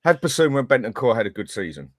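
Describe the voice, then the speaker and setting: A man's voice: mid-range voice, very animated, racing, no room sound, clean studio sound. A man, blunt and decisive, closing down the argument in a casual football debate.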